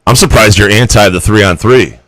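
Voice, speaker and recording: A man's voice: fairly low pitch, moderate pace, fairly bright tone, no room sound, poor audio. A man co-hosting a sports talk show, teasing his partner sarcastically and reading sponsor ads. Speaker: sports talk co-host and ad reader. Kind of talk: casual banter and ad reads.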